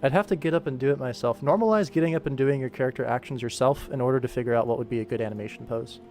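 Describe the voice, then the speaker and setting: Young guy streamer, middle pitched voice, rambly and self-deprecating, chatting while he animates, music going in the back. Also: rapid-fire, darkish tone. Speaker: animator streaming his work. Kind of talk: casual livestream chat while working. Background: background music.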